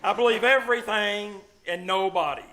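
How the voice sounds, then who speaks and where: A man's voice: high voice, normal speed, bright, forceful tone. A man playing a wry, teasing veteran small-town doctor in a live old-time radio comedy. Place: live stage performance at a venue.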